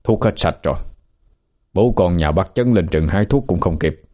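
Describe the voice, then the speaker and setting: A man's voice: low voice, lively intonation, moderate pace, mellow. A man voicing an older male healer and teacher who speaks firmly and tersely. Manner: firm, terse. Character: old male healer, stern and weary teacher.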